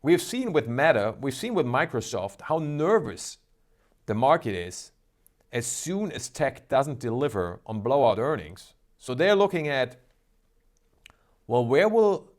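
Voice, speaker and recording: A man's voice: medium pitch, very animated, measured pace. A man hosting a stock trading show, talking in an upbeat, emphatic, salesy way. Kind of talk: energetic market commentary.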